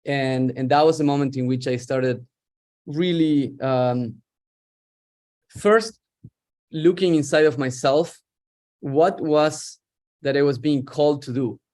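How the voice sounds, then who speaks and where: A man's voice: fairly high pitch, slow, long pauses, a little mellow. Middle-aged man, reflective. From Argentina. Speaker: guest, startup founder. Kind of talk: interview on a video call.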